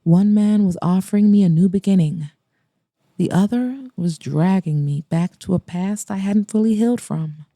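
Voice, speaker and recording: Woman, romance audiobook narrator and host, dark mellow tone, unhurried, dramatic, close dry sound. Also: medium pitch, no echo.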